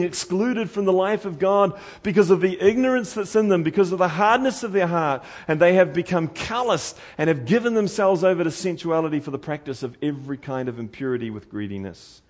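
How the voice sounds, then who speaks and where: A New Zealand man delivers a sermon with an earnest, pleading manner. His voice sits at an ordinary middle pitch for a man. He swings from loud, urgent passages to hushed, intense appeals. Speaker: preacher.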